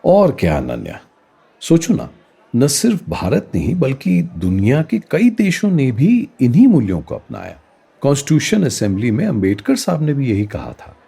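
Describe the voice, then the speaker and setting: A man's voice: medium pitch, huge pitch swings, moderate pace, gravelly, warm tone, breathy edge, full character voice. A man playing a thoughtful political science professor in a radio play, explaining things expressively and emphatically. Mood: reflective. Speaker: political science teacher character. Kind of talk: radio play dialogue, Hindi mixed with English.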